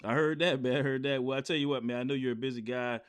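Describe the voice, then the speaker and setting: A man's voice: medium pitch, steady tone, fast talker, smooth. Friendly easygoing male podcast host. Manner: friendly, easygoing, laughs along. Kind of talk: scripted intro, then casual interview.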